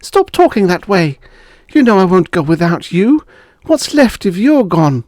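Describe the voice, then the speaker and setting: A man's voice: high voice, big pitch swings, a little slow, a little mellow, dry recording, voice pushed up. A man voicing an anxious, affectionate young wife who pleads with fire in an audiobook story. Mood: angry, distraught.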